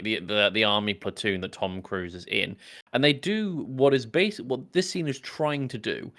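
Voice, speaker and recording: A man with a lowish but bright, forward voice. He co-hosts a podcast and chats casually about films, with a teasing, joking manner. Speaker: podcast co-host. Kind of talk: casual film chat.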